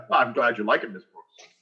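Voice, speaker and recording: A man's voice: mid-range voice, lively intonation, measured pace, dull audio, close to an everyday voice. A man playing a pompous, blustering, tight-fisted principal in a theatrical radio sitcom style. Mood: hasty, unctuous. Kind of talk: 1940s radio sitcom acting on stage.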